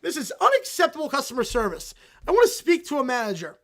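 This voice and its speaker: A man voicing a group of entitled, whining cartoon kids who complain dramatically and shout. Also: extremely high pitch, animated, unhurried, vocal fry, exaggerated voice. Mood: whiny.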